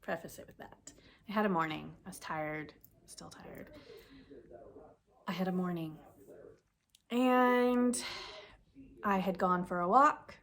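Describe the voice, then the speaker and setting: A woman's voice: fairly low pitch, takes it slowly, pauses often, fairly bright tone. A woman vlogging casually about knitting, sniffly from the cold, chatting in a rambling way that corrects itself as she goes. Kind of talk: casual chat to camera.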